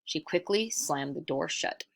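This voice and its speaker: A woman reading a children's story aloud in expressive, scripted narration. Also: low-pitched, a little slow, creaky.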